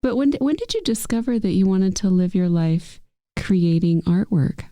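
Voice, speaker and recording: A woman's voice: medium pitch, expressive, normal speed, warm tone, no echo, studio quality. A woman co-hosting a podcast interview, asking questions and agreeing readily with her guest. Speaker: podcast co-host.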